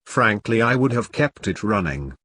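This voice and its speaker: A man's voice: fairly low pitch, sing-song, moderate pace, background noise. A British man reading a scripted review in a dry, sardonic, sweary manner.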